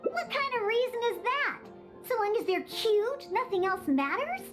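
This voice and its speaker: A woman's voice: extremely high pitch, very animated, normal speed, a bit bright, soft background music, full character voice. A woman voicing a grumpy, baffled girl in a video game, haughty and complaining. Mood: disdainful. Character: grumpy, baffled girl who scorns cute cats.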